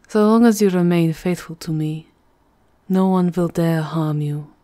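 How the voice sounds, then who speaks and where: A woman's voice: on the low side, steady tone, slow, warm tone. A woman voicing a seductive, possessive vampire countess in an intimate, aristocratic manner for an ASMR roleplay. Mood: gently commanding.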